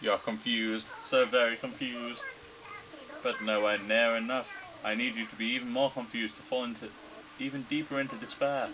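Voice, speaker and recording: A man voicing a gleeful, sinister male robot bear headmaster who lectures in a mocking, theatrical, drawn-out way. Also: mid-range voice, flat delivery, dull audio, noisy background, lightly acted. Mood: sinister lecturing. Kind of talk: video game dub reading.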